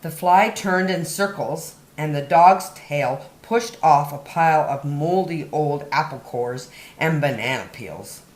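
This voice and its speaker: A woman hosting story time, reading a picture book aloud to young children with deliberate, dramatic emphasis on key words. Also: sits low. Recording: roomy, echoey indoor sound.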